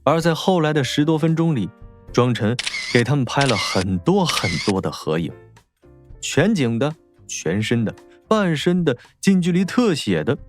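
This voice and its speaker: Animated male audiobook narrator. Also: medium pitch, moderate pace. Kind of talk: scripted dramatic narration of a novel. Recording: faint music behind.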